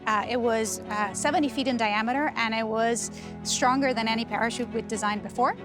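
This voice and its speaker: A woman from Argentina speaks as an engineer in an informal interview. Her pitch is a little high. Clear music plays underneath. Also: fairly quick. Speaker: NASA guidance and control engineer.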